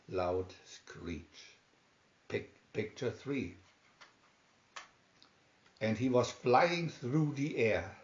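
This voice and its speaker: A man reading a children's adventure story aloud as scripted narration, with dramatic, deliberate, emphatic phrasing. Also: mid-range voice. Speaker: reader of children's picture booklets. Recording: dull, echoey home recording.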